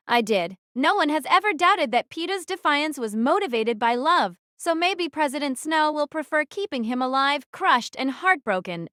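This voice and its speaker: Woman acting a teenage girl rebel, high voice, dry sound, first-person drama narration, brooding, defiant. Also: fairly bright tone, no echo. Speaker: narrator and lead actress.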